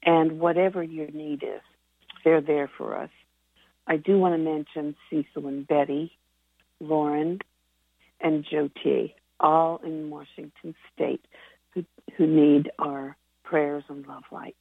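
A woman's voice: low voice, fairly even intonation, slow, vocal fry, breathy edge, no room sound. A woman co-hosting a conference call, giving informal updates to listeners over the phone. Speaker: call co-host reading reports and healing list. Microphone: telephone. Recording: muffled, narrow phone conference line.

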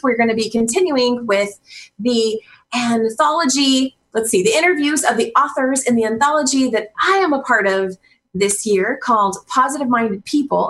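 A woman's voice: a little high, a bit bright. A woman hosting a radio interview show in a casual, encouraging way. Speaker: radio show host and coach.

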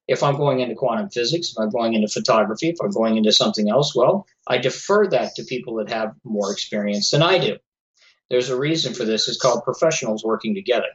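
A man's voice: mid-range voice, a bit bright. A man hosting a paranormal radio show, talking earnestly and lecturing his listeners while losing his voice. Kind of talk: solo talk radio monologue. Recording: slightly dull lo-fi audio.

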